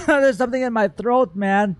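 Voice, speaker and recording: A man streaming casual game reaction commentary, expressive and joking, talking back to the characters. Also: very high voice, a little slow, slight background noise. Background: game music.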